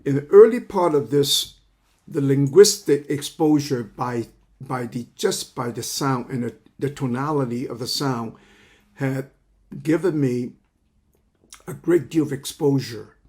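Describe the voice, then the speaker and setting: Halting older man. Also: medium pitch, a little mellow. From China. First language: Cantonese. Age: 70s. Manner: halting, repeats words while searching for phrasing. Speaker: counseling psychology teacher. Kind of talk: lecture introduction.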